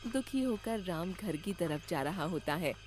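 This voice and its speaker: A woman's voice: lowish voice, expressive, measured pace, darkish tone, soft background music. A woman giving scripted narration of a children's moral tale.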